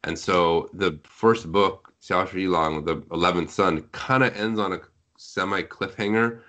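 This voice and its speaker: Low-voiced man talking casually. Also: steady tone, a little slow, a bit bright. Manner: talkative, explanatory. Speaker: guest on a podcast, web novel translator. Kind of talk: casual podcast conversation.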